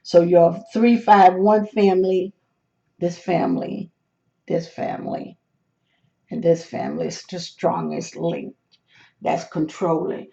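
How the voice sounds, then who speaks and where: A hoarse host explains number charts step by step, with hesitant restarts. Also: medium pitch, fairly even intonation, slow, pauses often, dark tone, highs cut off. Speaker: lottery number tutorial host. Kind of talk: screen walkthrough of number charts.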